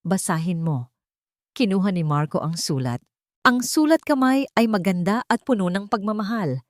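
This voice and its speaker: A woman's voice: mid-range voice, animated, normal speed, no echo, clean studio sound. A woman narrating a scripted dramatic story. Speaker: narrator of love stories.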